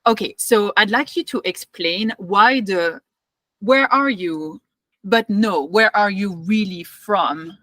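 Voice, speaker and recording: A woman with a French accent hosting an interview, chatty and animated. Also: mid-range voice, takes it slowly, dry recording. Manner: animated, chatty, with laughter and fillers. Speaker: podcast host. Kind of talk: interview conversation.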